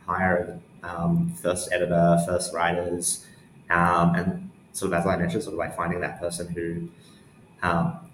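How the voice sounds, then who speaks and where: Casual young male guest, deep voice, halting delivery, echoey room. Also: monotone, fairly bright tone. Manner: casual, halting, thinking aloud. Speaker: interview guest, newsletter founder. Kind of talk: casual interview answers. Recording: roomy echo, faint background noise.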